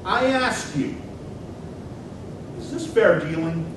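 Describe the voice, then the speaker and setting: Declamatory old-time senator, echoey hall. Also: male voice, expressive, slow. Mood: firm, accusing. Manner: declamatory, emphatic, rhetorical questioning. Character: impassioned 19th-century American senator debating his rival. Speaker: performer in a dramatic reading. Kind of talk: theatrical political oratory, dramatic reading. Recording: very echoey hall, distant mic, loud rumbling noise.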